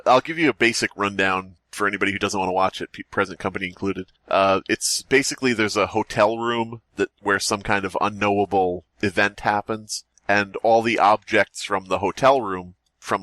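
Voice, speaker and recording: A man's voice: fairly low pitch, sharp tone, no room sound. A man hosting a podcast, mixing casual comedic chat with reading horror stories aloud, joking, swearing and stumbling over words. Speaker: podcast host.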